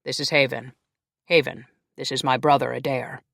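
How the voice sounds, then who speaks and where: A narrator voicing a stern, unbending warrior who speaks firmly and low. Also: male voice, flat delivery, moderate pace, creaky, a bit bright, dry recording, studio quality.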